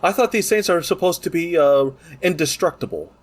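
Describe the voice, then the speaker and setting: A man's voice: a little high, expressive, normal speed. A man co-hosting a podcast in casual chat, joking and swearing freely as he talks. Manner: profane, joking banter. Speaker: podcast co-host.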